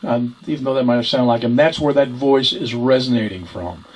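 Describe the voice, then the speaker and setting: A man teaching ventriloquism informally, explaining things in a halting, searching way. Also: mid-range voice, breathy edge, low-quality recording. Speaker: ventriloquism instructor. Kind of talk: informal instructional talk.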